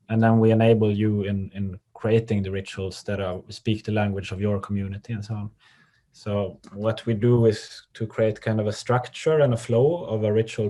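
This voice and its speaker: A man with a Swedish accent calmly presenting an online workshop over a video call, with frequent pauses and ums. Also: lowish voice. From Sweden. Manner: calm, measured, with frequent ums. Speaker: workshop facilitator.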